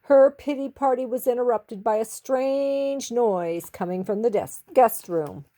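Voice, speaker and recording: A woman reading a children's story expressively, with theatrical, drawn-out emphasis on key words. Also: a little high, mellow.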